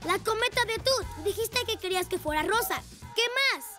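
Cartoon lead: very high, childlike boy motorbike, earnest and eager, music underneath. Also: lively intonation, normal speed. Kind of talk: children's cartoon dialogue in Spanish. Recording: music behind the voice.

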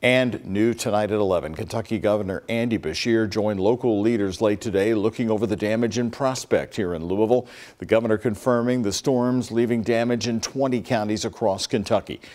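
A man's voice: on the low side, brisk. Older man anchoring the evening news. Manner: steady, formal delivery. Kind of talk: scripted news reading. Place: TV studio.

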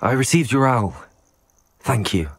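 A man's voice: mid-range voice, lively intonation, measured pace, faint music behind, natural voice. An actor playing an earnest young wizard student who reports urgent news to his elders.

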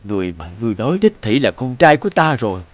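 An actor voicing an elderly, ailing king, regal and doting, in an animated fairy tale. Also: medium pitch, fast, dry recording, dull audio, lightly acted. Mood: moved, commanding.

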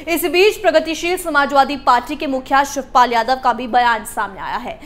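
A woman's voice: high-pitched, fairly bright tone. A young woman anchoring the news, reading a script in a formal, emphatic newsreader delivery. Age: young adult.

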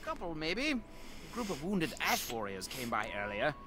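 An actor playing a shifty, defensive bandit cornered in a fantasy video game. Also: male voice, fairly high pitch, wildly swooping pitch, normal speed. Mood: wary, evasive. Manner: shifty, defensive, self-excusing. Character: cornered male bandit, evasive and pleading, then defiant.

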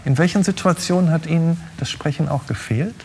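A man's voice: fairly high pitch, fairly quick, darkish tone, a little hiss or hum. A middle-aged man hosting a talk show, asking questions in a conversational style. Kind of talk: conversational interviewing. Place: large TV studio.

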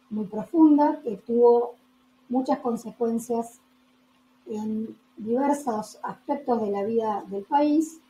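An Argentine woman in her forties, a geneticist, giving a measured, prepared academic talk and drawing out words for emphasis. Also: on the high side, dark tone. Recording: echoey room with faint noise.

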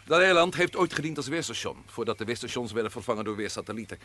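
A man's voice: mid-range voice, animated, fast talker, pushed and bright, slight background noise. An actor playing a resolute man who leads a group's escape in an old radio play. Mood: determined. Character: resolute male leader planning an escape. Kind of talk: vintage radio play acting.

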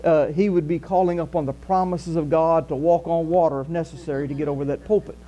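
Older Southern pastor preaching in church. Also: male voice, a little high, fast talker, highs cut off. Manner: conversational preaching, animated gestures, walks the stage. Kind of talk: sermon. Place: small church hall, indoors. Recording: faint mains hum.

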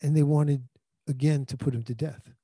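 New guy in his 50s giving a sermon, warm relaxed tone, handheld mic, talks like a conversation and restarts a lot. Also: a little high, moderate pace, breathy edge, no echo. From New York. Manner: earnest conversational preaching with frequent restarts. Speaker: pastor. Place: small indoor room. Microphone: handheld microphone.

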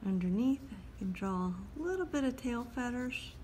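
A woman calmly talking through a step-by-step drawing lesson as she sketches at a table. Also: a little high, slight background noise. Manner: calm, unhurried, talks while drawing. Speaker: drawing instructor. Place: at a table indoors.

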